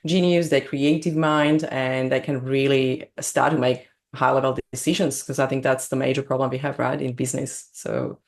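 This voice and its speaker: A woman from Slovakia with a Slovak accent, speaking English as a second language as a guest on an interview, bubbly and quick to laugh. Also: very deep voice, steady tone, a little mellow. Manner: bubbly, laughs often, non-native grammar. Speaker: business coach, guest. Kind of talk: casual interview chat.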